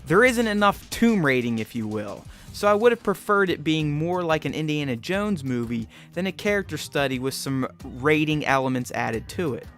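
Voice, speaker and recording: A man's voice: fairly high pitch, expressive, normal speed, hardly any pauses, a bit bright. A man hosting a film review, reading scripted narration. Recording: music bed behind voice. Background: background music.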